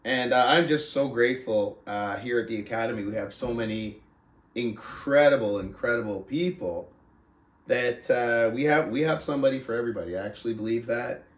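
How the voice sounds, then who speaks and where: A man hosting a book club podcast, talking casually and reading aloud with deliberate, drawn-out emphasis and pauses. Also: mid-range voice. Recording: roomy, echoing sound.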